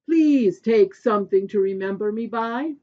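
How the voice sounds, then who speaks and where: A woman's voice: mid-range voice, unhurried, dark tone, lo-fi sound, natural voice. A woman voicing a generous male sky king from a folk tale, courteous, emphatic and insistent.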